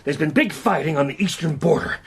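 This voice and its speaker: A high-pitched, creaky adventurer. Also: male voice, a little fast. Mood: excited. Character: breathless American adventurer bringing war news. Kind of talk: radio drama dialogue.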